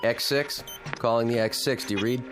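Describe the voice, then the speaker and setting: An actor playing a respectful, eager flight control technician in a radio drama, speaking in crisp military call-outs. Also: male voice, mid-range voice, steady tone, normal speed, over music, natural voice. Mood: businesslike.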